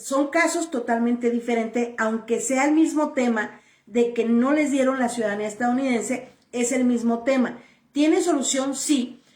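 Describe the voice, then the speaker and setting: A woman with a somewhat high voice answers viewer questions about immigration papers. She is firm and blunt and keeps repeating her key points. The recording has a roomy echo. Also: distant mic. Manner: firm, blunt and repetitive, stressing points plainly. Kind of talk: direct-to-camera advice video.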